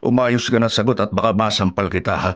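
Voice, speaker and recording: A man's voice: lowish voice, quick pace, dry recording, lightly acted. An actor playing a stern, demanding father of grown children in a radio drama. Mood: stern, outraged.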